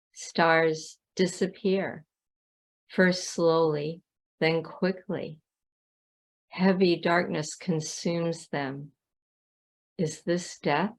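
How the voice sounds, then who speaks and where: Woman poetry reader, gravelly and even in pitch, deliberate phrasing with clear pauses. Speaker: poet reading own poem. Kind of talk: poetry recitation.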